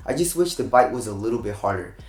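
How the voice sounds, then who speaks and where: Slightly hoarse man in an echoey room. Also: lowish voice, animated, fast, darkish tone. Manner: chatty, addresses viewers directly as "you guys". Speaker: shoe review channel host. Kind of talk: casual product review.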